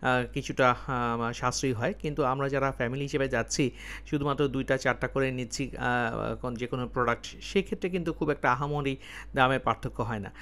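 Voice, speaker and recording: A man working as a travel vlogger, talking casually to viewers in a halting way, thinking aloud as he explains. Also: mid-range voice, fairly bright tone.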